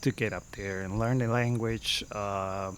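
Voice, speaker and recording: A man in his fifties from Costa Rica with a Costa Rican Spanish accent, telling stories as a podcast guest and often pausing to search for words. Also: fairly low pitch, steady tone, a crowd in the background. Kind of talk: casual storytelling.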